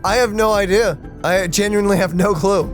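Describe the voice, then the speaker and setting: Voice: medium pitch, fairly even intonation, fairly quick. A gaming host chatting casually through a playthrough, informal and thinking aloud. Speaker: let's play host. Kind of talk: casual gameplay commentary and chat. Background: faint game music.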